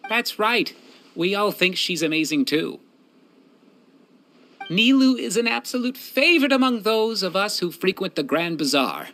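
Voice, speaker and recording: A man's voice: sits high, big pitch swings, unhurried, pushed and bright, heavily acted. A man voicing an easygoing, admiring theatre regular in a game, upbeat and reassuring. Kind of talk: game character acting.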